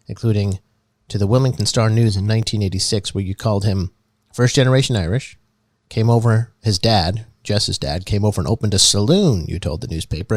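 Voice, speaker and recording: Male comedy host, mock public-radio narrator, lowish voice, dry close sound, earnest and halting. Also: no echo. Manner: earnest, halting, with many restarts.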